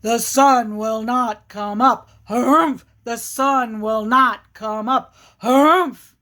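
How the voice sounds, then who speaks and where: A woman's voice: on the high side, drawn-out words, a bit bright. A woman voicing a stubborn, proud, grumpy big male bear in an animal folktale, with emphatic, blustering harrumphs. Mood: stubborn, huffy.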